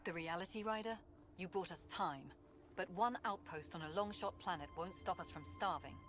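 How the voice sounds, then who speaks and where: An actress playing a weary, candid, stern director in a video game, speaking in a measured, dry way. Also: female voice, fairly low pitch, fairly bright tone, faint music behind, close to an everyday voice. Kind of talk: video game cutscene acting. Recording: clear ambient noise behind.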